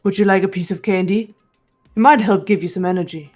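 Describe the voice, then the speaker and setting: A woman voicing a quiet, soft-spoken young woman in a flashback scene. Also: mid-range voice, steady tone, fairly quick, soft background music, lightly acted. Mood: polite.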